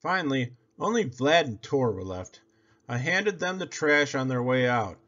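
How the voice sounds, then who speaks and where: A man reading a children's story aloud in a theatrical, expressive way, stretching out words for effect. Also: mid-range voice, measured pace. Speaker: storybook reader. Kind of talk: animated children's read-aloud. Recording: slightly dull, lo-fi audio.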